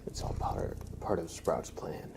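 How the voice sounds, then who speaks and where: A man hosting an ASMR livestream, chatting softly and playfully in a breathy, often whispered voice, repeating short phrases as if to a pet. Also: unhurried. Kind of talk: ASMR, casual chat with a pet and viewers.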